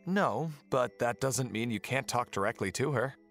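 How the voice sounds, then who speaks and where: Kind young merman prince guy acting, audio drama, mid-range voice, explains stuff gently, faint music. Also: medium pitch, lively intonation, normal speed, creaky. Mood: kind, patient.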